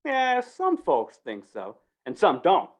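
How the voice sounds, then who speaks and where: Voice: mid-range voice, wildly swooping pitch, takes it slowly, dull audio, full character voice. An actor playing a gossipy small-town undertaker and justice in an old-time western radio drama, with drawling rural talk. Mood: relishing the tale.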